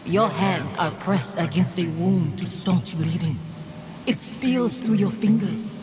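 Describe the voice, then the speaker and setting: An actress playing a ghostly nightmare of a grieving mother in a video game, speaking with cold, deliberate accusation. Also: fairly low pitch, noisy background. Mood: cold accusing. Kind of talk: dramatic video game acting.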